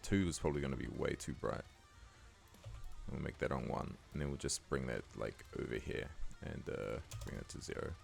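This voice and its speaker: A man with a croaky voice giving a laid-back, casual editing tutorial, talking loosely with lots of fillers. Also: rumbling low, normal speed, faint music behind. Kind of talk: casual screen-recording tutorial voice-over.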